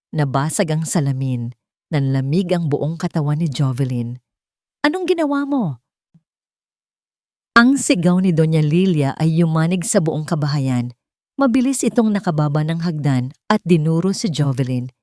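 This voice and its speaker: A Filipino woman tells a scripted audio drama in a dramatic style. Her voice sits low and moves widely in pitch, with a very dry, close sound. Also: animated, moderate pace, darkish tone, no room sound. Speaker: storyteller.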